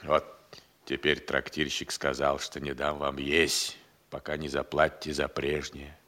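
An actor playing a hungry, idle, crafty manservant in an audio play, grumbling and sighing in folksy, speech. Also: male voice, fairly high pitch, sing-song, slow, vocal fry, a bit bright, heavily acted. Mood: aggrieved, grumbling. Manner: folksy, drawling, sighing, grumbling, relishing his words. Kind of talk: theatrical audio-play monologue.

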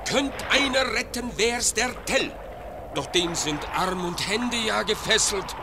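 A pious, anxious old fisherman in a radio drama, lamenting in fervent tones. His voice sits fairly high. A howling storm effect rumbles behind him. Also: expressive, measured pace, very noisy. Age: older.

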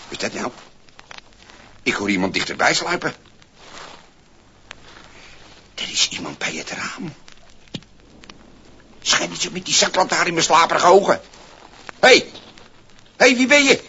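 Scruffy vagrant, cheeky, easily flustered, swooping intonation, exclamatory, old radio play, dull slightly noisy vinyl. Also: male voice, fairly high pitch, very animated, measured pace, long pauses, pushed and bright, exaggerated voice. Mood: startled, flustered. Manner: stammering when flustered, exclaiming, talking to himself. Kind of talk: 1960s promotional radio play acting. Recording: muffled, noisy 1960s vinyl single recording.

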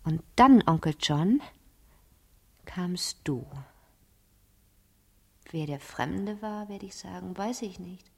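Cunning teenage girl, low-ish breathy voice, self-assured, imaginative, theatrical radio-play monologue. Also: slow, long pauses, heavily acted. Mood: plotting, dramatic.

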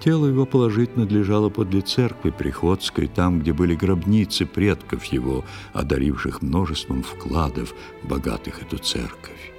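A man narrating a literary fairy tale in verse, reciting it in a solemn, measured and expressive way. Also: low-pitched, faint music behind. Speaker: storyteller narrator.

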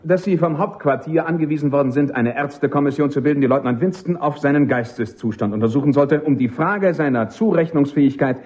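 An actor playing a principled, stubborn army captain and defence lawyer in a radio drama, precise, insistent and formally respectful. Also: male voice, on the high side, brisk, few pauses, a little mellow, lightly acted. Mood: insistent, probing. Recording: dull, muffled old radio recording.

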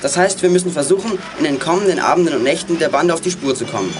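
Young male actor as quick-talking determined teen boy. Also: a little high, over music, close to an everyday voice. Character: determined teenage boy leading young detectives. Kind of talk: children's radio play acting.

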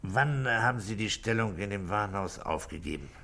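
An actor playing a calm, middle-aged police inspector in a radio drama, questioning in a measured, dry way. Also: male voice, lowish voice. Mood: probing. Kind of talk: 1960s German radio drama acting.

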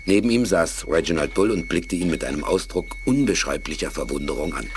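A man's voice: deep voice, lively intonation, brisk, background noise. A man narrating a scripted science fiction radio play as its storyteller. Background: loud music under the voice.

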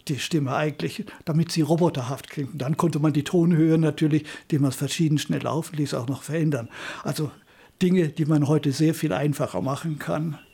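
An older man recalls past work in spontaneous interview talk. His voice is husky and mellow, pitched a little high for a man. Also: steady tone, normal speed. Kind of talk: interview reminiscence, spontaneous storytelling.

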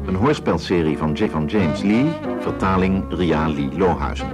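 A man's voice: low-pitched, moderate pace. A man announcing scripted radio drama credits over theme music. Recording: loud brass music under the voice. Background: loud saxophone and brass theme music.

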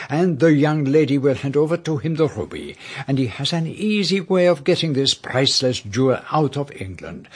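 An actor playing a shrewd, courteous Belgian detective with a French-speaking accent in a radio drama, formal, precise and unhurried. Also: male voice, mid-range voice, slightly rough. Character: shrewd, courteous middle-aged foreign male detective.

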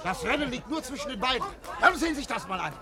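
A man playing an excitable upper-class colonel in a German radio play, blustering and easily astonished. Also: extremely high pitch, very fast, a crowd in the background. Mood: excited. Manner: blustering, exclamatory, stammering when astonished.

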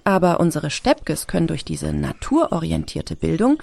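A woman's voice: low-pitched, very animated, moderate pace, vocal fry, darkish tone, exaggerated voice. A woman playing an earnest, idealistic farm teacher in an audio drama. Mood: earnest, explaining. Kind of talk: German audio drama dialogue.

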